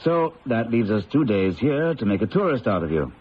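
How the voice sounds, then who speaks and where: An actor playing an enthusiastic, sociable researcher with a hearty, exclamatory way of talking in an old-time radio drama. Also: male voice, medium pitch, very animated, moderate pace, close to an everyday voice. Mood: hearty, excited. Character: enthusiastic, sociable British psychology researcher, breezy friend. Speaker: actor playing the lead's colleague. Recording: dull, hissy old radio recording.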